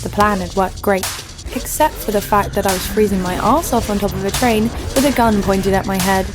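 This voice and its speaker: A woman's voice: medium pitch, fairly quick, lightly acted. Woman acting a wry, defiant female thief. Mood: wry, storytelling. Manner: wry, sardonic. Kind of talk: first-person audio drama narration with acted dialogue. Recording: loud music bed under voice.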